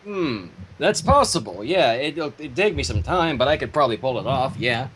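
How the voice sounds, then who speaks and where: A man's voice: mid-range voice, lively intonation, normal speed, fairly bright tone, breathy edge. A man chatting casually on a livestream over an online voice call. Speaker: animator and livestream guest.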